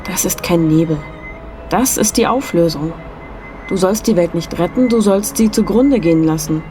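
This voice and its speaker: A woman's voice: on the low side, moderate pace, background noise, lightly acted. An actress playing a mysterious, insistent woman guide in a radio play, speaking with earnest urgency.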